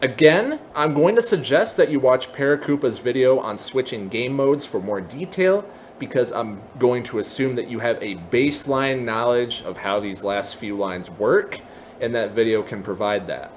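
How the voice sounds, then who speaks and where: A man presenting a software tutorial, explaining step by step in a semi-casual way with casual asides. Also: medium pitch, lively intonation, normal speed, a little hiss or hum.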